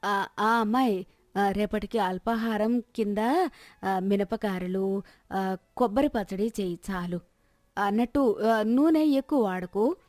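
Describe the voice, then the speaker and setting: A woman's voice: medium pitch, unhurried, long pauses, darkish tone, dry recording, lightly acted. A woman voicing a stingy old grandmother in a moral story, lecturing and scolding. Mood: hesitant, demanding.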